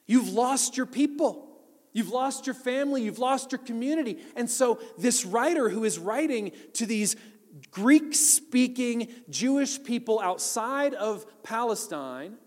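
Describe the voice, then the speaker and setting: A man preaching a sermon, explaining scripture earnestly and building to emphatic appeals. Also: sits high. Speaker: pastor. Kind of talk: sermon teaching on Hebrews. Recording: long hall reverb.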